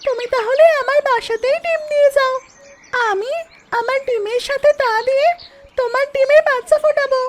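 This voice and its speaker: A performer voicing a kind, sympathetic mother duck in a cartoon story, gentle and caring. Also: very high voice, moderate pace, very noisy. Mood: obliging.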